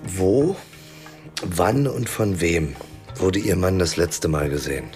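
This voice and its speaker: An actor playing a hungover, insolent private detective in a radio drama, wry and flippant in delivery. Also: male voice, sits low, slow, darkish tone, soft background music. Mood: weary, businesslike. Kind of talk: German radio drama acting.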